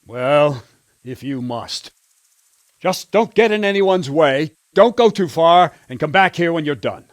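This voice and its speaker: Blunt, animated army captain. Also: male voice, a little high, a little slow, no echo, clean studio sound. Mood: brusque. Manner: authoritative, blunt, oath-swearing. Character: gruff, commanding medieval captain of soldiers, grimly determined. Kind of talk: dramatic video game acting.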